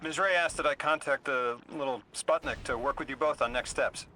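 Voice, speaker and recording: An actor playing a courteous, bookish translator in a video game, speaking in an eager, formal and slightly hesitant way. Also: male voice, mid-range voice, a little fast, pushed and bright, slight background noise, natural voice, low-quality recording. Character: flustered, courteous, bookish male translator and emissary.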